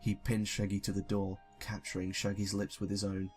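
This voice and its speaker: A man's voice: lowish voice, completely flat delivery, moderate pace, a little mellow, faint music behind. A young man reading a story casually, with sweary, self-deprecating joking asides. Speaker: YouTuber reading fan fiction.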